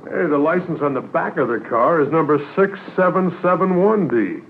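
An actor playing a plain-spoken, dutiful police constable in a 1950s radio drama. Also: male voice, fairly high pitch, expressive, normal speed, phone-quality sound, natural voice. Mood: alert, dutiful. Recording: thin, noisy 1950s radio transcription recording.

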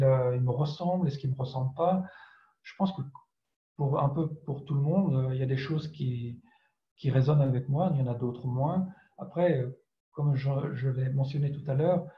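A man from France, a writer answering interview questions reflectively and hesitantly, searching for his words. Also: medium pitch. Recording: dull, roomy, echoing sound.

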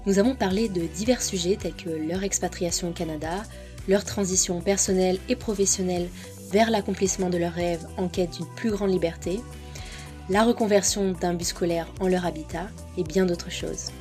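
A woman's voice: fairly low pitch, steady tone, normal speed, warm tone, faint music behind. A woman hosting a podcast, presenting and interviewing guests.

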